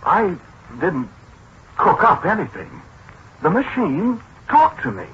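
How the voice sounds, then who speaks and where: An American actor playing a gentle, disgraced scientist in a 1940s radio drama, hesitant and apologetic, with self-pity in his delivery. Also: high voice, sing-song, vocal fry, slightly rough, exaggerated voice. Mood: hesitant, downcast. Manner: hesitant, halting, self-pitying. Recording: muffled, noisy 1940s radio recording.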